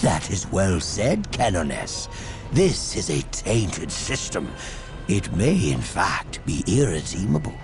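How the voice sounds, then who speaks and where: A solemn priestly counsellor in a game. He is formal and unhurried, with a creaky edge and dramatic swoops of pitch, over music. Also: huge pitch swings, heavily acted. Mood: grave. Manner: formal, measured, declamatory. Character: reverent male priestly adviser to a warrior sisterhood. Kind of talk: theatrical video game voice acting. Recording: prominent game music behind.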